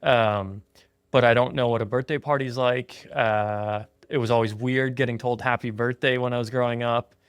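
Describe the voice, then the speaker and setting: Thirties man, laid-back podcast host, middle pitch, dry close sound. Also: steady tone, normal speed, smooth, no echo. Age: 30s. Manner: easygoing, earnest, with frequent "um" and "yeah". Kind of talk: casual podcast chat. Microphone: remote call.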